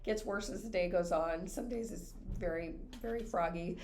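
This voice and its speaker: A woman in her fifties with a raspy voice, a radio broadcaster chatting as an interview guest. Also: lowish voice, normal speed, a little hiss or hum. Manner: chatty, animated storytelling. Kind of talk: interview conversation.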